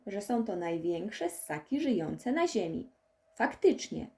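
A woman narrates a scripted story for young children. Her voice is mellow and sits at a middle pitch, and her delivery is expressive, with lively swings in intonation. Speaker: audiobook narrator.

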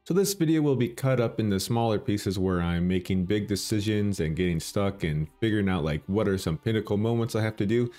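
A man casually commenting on his own game run, chatty and self-deprecating, thinking aloud as he plays, with a bassy morning voice. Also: fairly quick, hardly any pauses, warm tone, faint music behind. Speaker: gaming channel host.

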